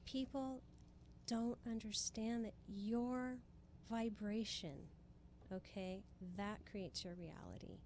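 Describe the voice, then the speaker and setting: Woman from Hawaii, interview guest, medium pitch, earnest, emphatic, motivational, conversational, slight background noise. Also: fairly even intonation, takes it slowly, darkish tone. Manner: earnest, emphatic, speaks in repeated phrases. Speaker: spiritual guest being interviewed.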